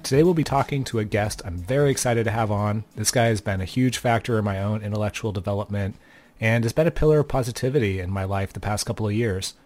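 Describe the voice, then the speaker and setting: A man hosting a podcast, reading a measured, welcoming introduction and then asking his guest a question. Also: lowish voice, darkish tone, soft background music. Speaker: podcast host.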